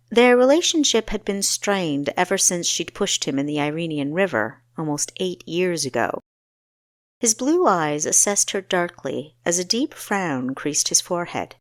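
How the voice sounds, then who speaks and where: A woman reading a scripted fantasy story as an audiobook narrator, in a measured, expressive storytelling way. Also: on the low side, dry recording, clean studio sound.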